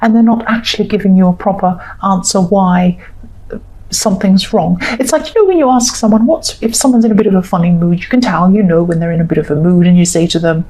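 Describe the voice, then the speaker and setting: A woman with a croaky voice giving a tarot reading to viewers, talking in a reassuring, repetitive way and thinking aloud with fillers. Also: medium pitch, quick pace, dark tone, poor audio. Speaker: tarot reader.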